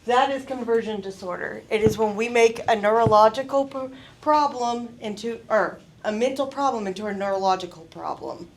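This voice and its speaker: Woman presenting at a college talk, bit high voice, chatty and lively. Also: normal speed, slight background noise. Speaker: student presenter. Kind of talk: class presentation. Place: college event room.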